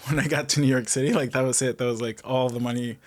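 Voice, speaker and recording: A man from Mexico, a guest telling his life story in a relaxed, rambling way with many fillers. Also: mid-range voice, fast. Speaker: guest, restaurant general manager. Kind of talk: casual conversational interview.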